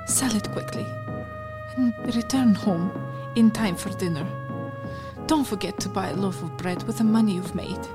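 Woman as a gentle widowed mother over music. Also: mid-range voice, normal speed, lightly acted. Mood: grateful, gently urging. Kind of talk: radio play acting. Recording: music behind the voice.

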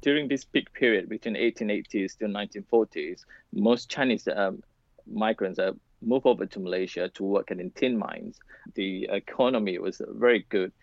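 A man's voice: lowish voice, moderate pace, vocal fry, no echo, dull audio. A man being interviewed, explaining history hesitantly with fillers.

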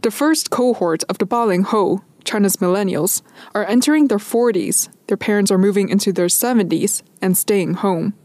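A woman hosting a podcast, putting measured, articulate journalistic points and questions to a guest. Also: medium pitch, a little mellow, no room sound. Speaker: podcast host, interviewer. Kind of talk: scripted narration and interview questions.

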